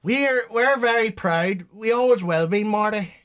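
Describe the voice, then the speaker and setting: A gentle, proud elderly father in a radio drama, played by a man. He speaks in a high voice, haltingly, doubling back on his words. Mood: tender, proud.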